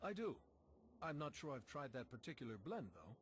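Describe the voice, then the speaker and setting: A man acts as a steady heroic commander in a game cutscene. His voice is of average pitch, and he speaks plainly, calmly and politely. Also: normal speed, a little hiss or hum, lightly acted. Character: steady, earnest male commander and hero. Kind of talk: video game cutscene acting.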